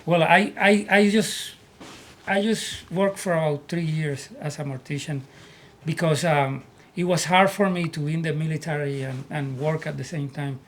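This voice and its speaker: A man from Puerto Rico with a Puerto Rican Spanish accent, telling his life story as an interview guest in earnest, halting English. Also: fairly high pitch, steady tone, a bit bright, slight background noise. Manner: earnest, repeats words as he searches for English. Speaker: guest, army veteran.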